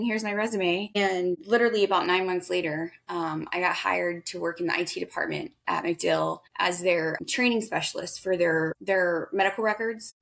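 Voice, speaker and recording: A woman in her thirties, a guest in an interview, talking in a chatty, energetic way with a big voice and often quoting herself. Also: lowish voice, gravelly. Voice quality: loud, big voice. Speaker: guest, veterans outreach worker. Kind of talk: casual interview talk.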